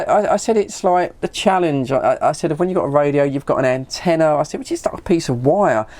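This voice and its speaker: A man's voice: high-pitched, darkish tone. A middle-aged man with a British accent chatting casually and telling anecdotes, acting out remembered conversations and often repeating his words as he goes. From United Kingdom. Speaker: radio hobbyist vlogger.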